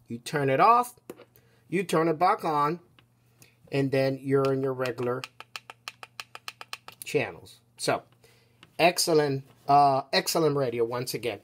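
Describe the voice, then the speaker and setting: A man presenting an unscripted product demonstration as a seller, explaining each step casually with a halting, instructional delivery. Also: on the high side.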